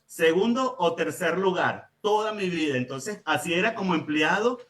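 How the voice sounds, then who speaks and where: A man's voice: high-pitched, normal speed. A man. He sits a bit back from the microphone, so he sounds distant. He talks steadily and often agrees with a firm "así es". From Venezuela. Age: late 40s. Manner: calm, affirming, often says "así es". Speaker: guest, business owner. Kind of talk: conversational interview storytelling.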